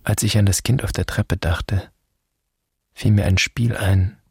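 An actor playing a brooding grown son in a literary radio drama, speaking in measured, poetic, introspective monologue. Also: male voice, fairly low pitch, fairly even intonation, creaky, darkish tone, husky, no echo, studio quality, heavily acted. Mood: wistful. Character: brooding adult son addressing his absent father.

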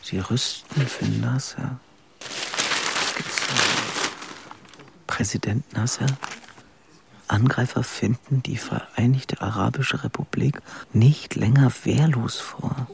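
A man's voice: medium pitch, fairly even intonation, takes it slowly, creaky, pauses often, noisy background. An actor playing a young man who reads newspaper headlines to himself in a radio drama. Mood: absorbed, wary. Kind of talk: radio drama acting, murmured reading.

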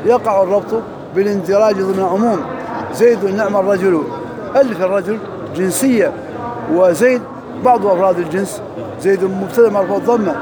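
A man teaches Arabic grammar in a mosque. His high voice rattles off examples at a brisk pace and slows when he recites verses. A crowd murmurs clearly in the background. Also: low-quality recording. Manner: quick, drilling examples, recites verses slowly. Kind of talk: lesson parsing grammar and verse.

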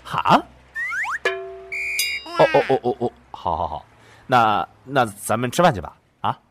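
A man's voice: medium pitch, very animated, unhurried, noisy background. A man playing a meek, flustered boyfriend in an audio drama, hesitant, stammering and apologetic. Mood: nervous. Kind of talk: Chinese audio drama acting.

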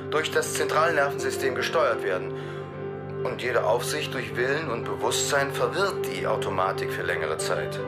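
Man playing a reflective racing cyclist over loud guitar. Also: medium pitch, lively intonation, normal speed, close to an everyday voice. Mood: reflective, content. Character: happy professional racing cyclist reflecting earnestly on himself. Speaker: actor in a radio drama. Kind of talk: literary monologue. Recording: loud music behind, guitar. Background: loud plucked guitar music.

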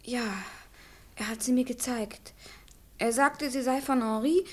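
Anxious, uncertain widow for crime radio drama; high-pitched, hesitant, pleading. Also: female voice, fairly even intonation, unhurried. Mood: uncertain, guarded. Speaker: actress in a crime radio play.

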